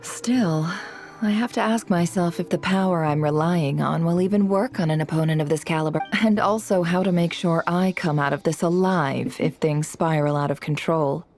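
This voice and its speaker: A woman voicing a composed, shrewd businesswoman in a video game, measured, cool and formal. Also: low voice, a little mellow, soft background music. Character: composed, shrewd businesswoman boss, wry and grave.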